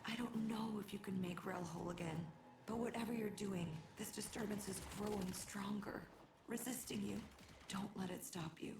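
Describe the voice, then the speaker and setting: Solemn mystic priestess for a game, hushed n measured, heavy fry, echoey w music. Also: female voice, mid-range voice, fairly even intonation, background noise. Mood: urgent, solemn. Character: solemn, reverent mystic priestess speaking of sacred things. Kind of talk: dramatic game voice acting.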